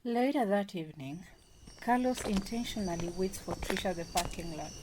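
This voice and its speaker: A woman's voice: medium pitch, expressive, moderate pace, a little mellow, a little hiss or hum, poor audio. A woman reading scripted narration as the narrator of a radio drama. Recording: music and crowd sounds behind.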